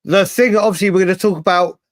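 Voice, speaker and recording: High-voiced English man, close and dry. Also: fairly even intonation, quick pace, no echo. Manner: chatty, matey, digressive. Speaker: host of a football fan show. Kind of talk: casual live fan debate.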